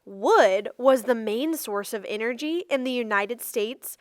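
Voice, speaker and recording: Higher-voiced woman reading aloud, measured pace. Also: no echo, clean studio sound. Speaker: read-aloud narrator. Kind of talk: children's non-fiction read-aloud.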